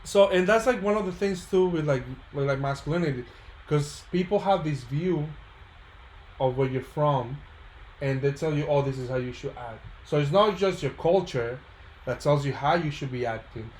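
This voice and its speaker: Higher-voiced man from the Dominican Republic, echoey room. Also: moderate pace, darkish tone. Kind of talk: casual chat. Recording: roomy echo, faint background noise.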